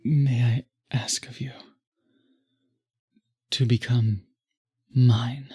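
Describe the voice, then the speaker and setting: A man as a breathy, courtly, obsessive vampire. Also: medium pitch, steady tone, a little mellow, exaggerated voice. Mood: pleading, devoted. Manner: formal, florid, slow and beseeching. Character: courtly, obsessive, possessive male vampire pleading with the listener. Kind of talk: intimate roleplay audio acting.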